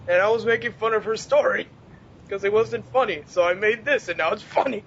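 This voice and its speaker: Young male co-host, very high voice, giggly self-mocking banter, dull lo-fi voice-chat recording. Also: moderate pace. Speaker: podcast co-host and amateur comedy writer. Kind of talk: casual group banter. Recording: dull lo-fi voice chat, noisy rumble.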